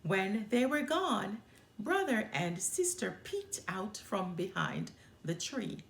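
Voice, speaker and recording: A woman reading a children's storybook aloud as an expressive narrator. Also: mid-range voice, takes it slowly, creaky, echoey room. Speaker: storyteller, children's book reader.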